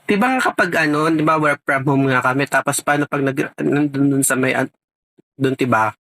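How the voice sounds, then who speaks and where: A man's voice: medium pitch, normal speed, bright, forceful tone, no room sound. A Filipino man vlogger chatting casually in a playful, rambling way full of vague filler words. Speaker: vlogger making a prank call. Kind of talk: casual chat, prank phone call.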